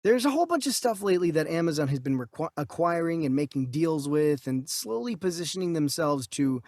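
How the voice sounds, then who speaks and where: Man co-hosting, slightly high voice, dry sound. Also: very animated, fairly quick, a little mellow, no echo. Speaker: podcast co-host. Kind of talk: casual movie news chat.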